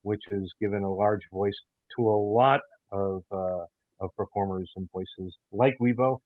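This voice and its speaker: A man in a casual panel chat, speaking as an audio theater producer in a halting, measured way. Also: fairly low pitch, fairly even intonation, no echo. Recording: muffled, phone-like thin audio.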